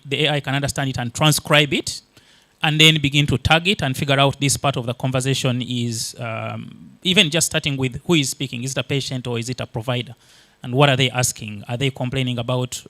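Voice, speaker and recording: A man's voice: medium pitch, fairly bright tone, no room sound. A man from Uganda whose first language is Luganda, giving a conference talk and explaining things step by step with many fillers and restarts. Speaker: conference presenter.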